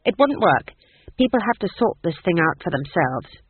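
A man reading the part of a proud, sharp-tongued old witch who speaks curtly and snaps. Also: sits high, sing-song, normal speed, slightly rough, no room sound, narrow, tinny audio, full character voice. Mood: stern. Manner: curt, snapping.

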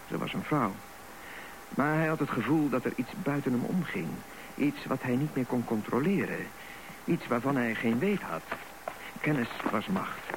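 A man narrating a scripted radio drama in a measured storytelling way. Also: on the low side, creaky. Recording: noisy radio recording.

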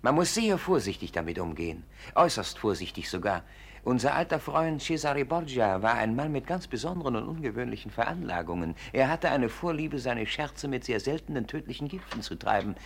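Husky, haughty English gentleman. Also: medium pitch, expressive, fairly quick. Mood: courteous, cautioning. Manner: formal, imperious, precise. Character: suspicious, haughty English gentleman collector with weak heart. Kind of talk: 1950s German radio play acting.

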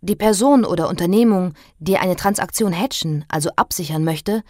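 An actress playing a composed, factual announcer reading scripted financial explanations and news. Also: female voice, fairly low pitch, moderate pace, darkish tone, no echo, clean studio sound, natural voice.

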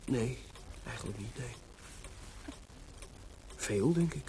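An actor playing a playful, broke, impulsive young composer and husband in a Dutch radio drama, with a teasing, theatrical delivery. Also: male voice, medium pitch, lively intonation, slow, mellow. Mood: subdued, wounded. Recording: noisy old radio play recording.

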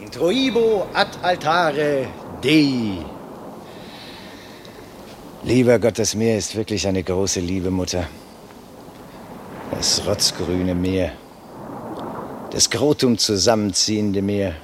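An actor playing a stout, boisterous young medical student in a theatrical, teasing 1960s German radio drama style. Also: male voice, on the low side, slow, pauses often, noisy background. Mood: declamatory, teasing. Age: young adult.

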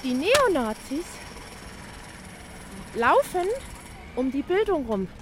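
A woman's voice: high-pitched, sing-song, slow pace. A woman from Dresden speaks spontaneously and emphatically in a street interview, often repeating herself. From Dresden, eastern Germany. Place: city street, outdoors. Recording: noisy outdoor street recording.

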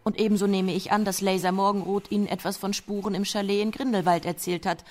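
A stern female police inspector with a medium-pitched voice, played by an actress. She is cool, formal and pointed, reproving in the manner of radio drama dialogue. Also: little pitch movement, quick pace, darkish tone, natural voice.

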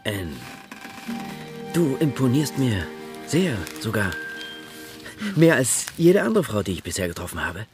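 An actor playing a charming, teasing rogue in a pirate-era radio drama, with a theatrical, halting delivery. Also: male voice, medium pitch, big pitch swings, music in the background. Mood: impressed, teasing. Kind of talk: German radio drama acting.

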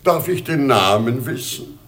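An old emperor of a storybook China in a theatrical radio drama. He speaks slowly with wide, swooping intonation, paternal and pleading, full of exasperated pity. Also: medium pitch, lively intonation, a bit bright. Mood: grave, paternal. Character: aging, pitying, exasperated emperor of a fairy-tale China. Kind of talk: theatrical German radio play acting.

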